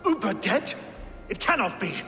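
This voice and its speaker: A swaggering Viking warlord, pitched high and forceful. He is boastful, menacing and quick to rage, and his voice rings in an echoey hall with music behind. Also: big pitch swings, distant mic, heavily acted. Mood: enraged. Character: swaggering, hot-tempered Danish Viking warlord king. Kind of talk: dramatic video game acting.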